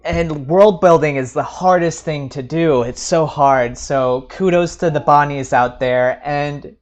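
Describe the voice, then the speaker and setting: A man's voice: on the high side, unhurried. A man hosting a casual interview podcast, talking in an effusive, informal and emphatic way.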